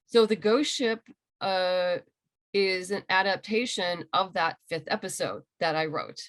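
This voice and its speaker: A woman's voice: on the low side, lively intonation, a little slow, a bit bright. A middle-aged woman answering interview questions on a video call in a chatty, informal, self-deprecating way. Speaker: interview guest, comic book creator and writer.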